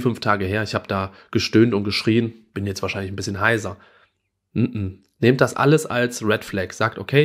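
Guy in his 30s, doctor giving tips to camera at home, chatty but earnest, lowish voice. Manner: earnest, conversational, gestures while explaining. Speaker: gynecologist giving advice to parents. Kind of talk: direct-to-camera advice video. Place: small home room indoors.

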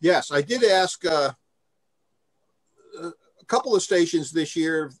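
An older man with a fairly high-pitched voice presents informally. He explains in a conversational way, taking questions over a video call. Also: expressive, slow pace. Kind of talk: informal briefing and question answering. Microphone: video call microphone.

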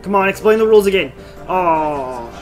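High-voiced man commentating on a game. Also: expressive, moderate pace. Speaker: gamer commentating on playthrough. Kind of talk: casual gameplay commentary. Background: game music.

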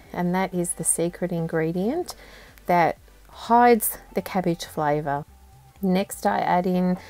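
An Australian woman in her fifties talks viewers through a simple home recipe at home. Her voice sits a little low and she takes her time, speaking plainly and warmly practical. The recording is close to a home camera. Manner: relaxed, homely and unhurried, plain step-by-step instructions. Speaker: cooking channel host. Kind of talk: home cooking tutorial. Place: indoors at home.

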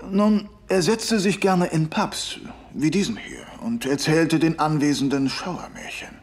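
An actor playing a dry, authoritative Victorian police inspector in an audio drama. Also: male voice, a little high, measured pace. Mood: dry, businesslike.

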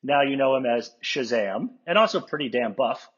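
A man's voice: mid-range voice, animated, moderate pace, a bit bright, slightly rough. A man co-hosting with scripted introductions and wry, fond joking asides. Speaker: co-host introducing cast. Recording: slightly muffled video call audio.

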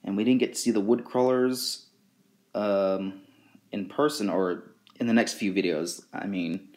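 A man talking casually and a little haltingly, presenting items in an informal show-and-tell vlog. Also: lowish voice, a little mellow. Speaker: video creator showing fan merchandise.

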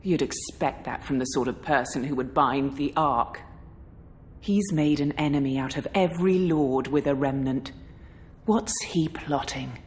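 A woman's voice: extremely low pitch, a little slow, a little mellow. A woman acting a stern, blunt seasoned general in a game cutscene, formal and authoritative. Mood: grave. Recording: echoey stone hall reverb.

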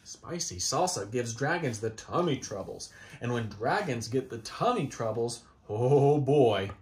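A man's voice: mid-range voice, expressive, measured pace, darkish tone. A man in his thirties reading a children's picture book aloud in a theatrical, playful, exaggerated manner. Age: 30s. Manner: theatrical, exaggerated, playful delivery with swoops and dramatic gasps. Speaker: storybook reader. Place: small child's room indoors.

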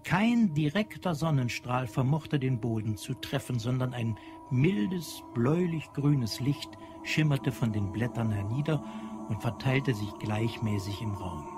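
A man narrating scripted literary prose in a measured, precise way. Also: medium pitch, lively intonation. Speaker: audio drama narrator. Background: prominent music.

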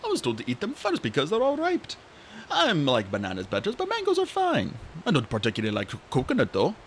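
A man's voice: a little high, wildly swooping pitch, brisk, slight background noise, pitched above the performer's own voice. A man voicing a sleepy, laid-back young male dragon who talks in a mild, drowsy mumble.

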